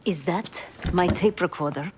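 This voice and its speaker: A woman's voice: on the low side, a little slow, noisy background, close to an everyday voice. An actress playing a guarded, skeptical female covert operative in a spy video game, speaking in a curt, challenging way. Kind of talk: video game character acting.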